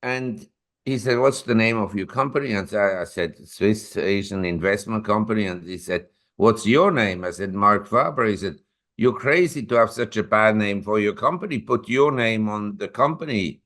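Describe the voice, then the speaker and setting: Man, 70s, fairly low voice, interview guest, rambling anecdotal storytelling. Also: normal speed, fairly bright tone. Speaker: investment newsletter publisher, guest.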